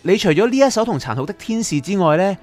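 A man acts a chatty, inquisitive lost soul in a radio drama. His voice swings widely in pitch, eager and coaxing, with music underneath. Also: expressive, quick pace, a little mellow. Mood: curious, excited. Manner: eager, wheedling, explanatory. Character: curious, talkative bargaining soul of an anime fan. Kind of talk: Cantonese radio drama acting.

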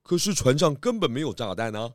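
A man playing a confident, clever detective in a children's radio drama, explaining his case deliberately. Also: medium pitch, very animated, heavy background noise, natural voice. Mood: accusing. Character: confident, clever male detective unmasking culprits.

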